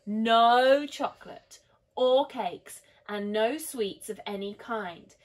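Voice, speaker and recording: A woman's voice: medium pitch, slow pace, a little mellow. A woman voicing a firm, cautious boy who owns a dog in a storybook, with an emphatic, instructing manner.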